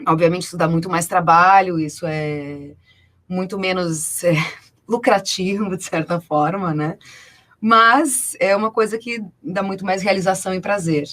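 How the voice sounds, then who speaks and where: A woman's voice: on the low side, a little slow, fairly bright tone. A woman from southern Brazil speaks with a Brazilian accent as a guest in a radio studio. She is talkative and conversational, often checking in with little tag questions as she explains. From Rio Grande do Sul, Brazil. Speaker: guest, actress and producer. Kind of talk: conversational interview answers.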